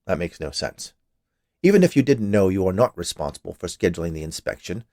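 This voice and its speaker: A man's voice: deep voice, big pitch swings, a little fast, darkish tone, dry recording, studio quality, pitched below the performer's own voice. A man reading a story, voicing an officious, stubborn male health inspector with a curt, pedantic manner.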